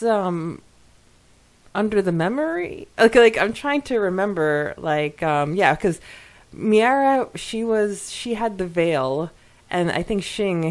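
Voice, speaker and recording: A woman co-hosting a podcast, reading out comments and chatting casually in an expressive, giggly, exclamatory way. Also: mid-range voice. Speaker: podcast co-host.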